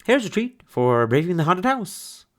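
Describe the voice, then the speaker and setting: Man doing a jolly old mole caretaker, squeaky high, loud, excited. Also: a little slow.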